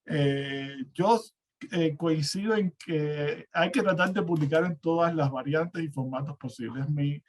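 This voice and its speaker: A man's voice: a little high, measured pace. A man with a Cuban accent speaking as an academic panelist in a spontaneous discussion, hesitating and repeating words as he reasons. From Cuba. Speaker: academic panelist and university teacher. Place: online seminar, remote call.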